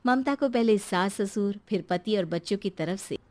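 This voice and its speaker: A woman's voice: mid-range voice, moderate pace. A woman reading scripted story narration.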